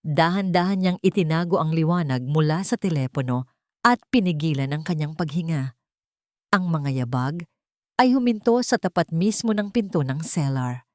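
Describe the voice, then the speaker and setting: A woman's voice: on the low side, a little mellow, no room sound, clean studio sound. A woman audiobook narrator reading a scripted dramatic story.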